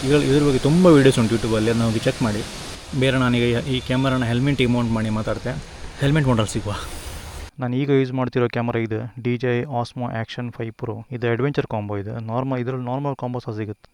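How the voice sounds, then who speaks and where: A man's voice: mid-range voice, a little mellow. A man walks casually through his gear in a rambling, self-correcting, conversational way. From India. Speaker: motovlogger.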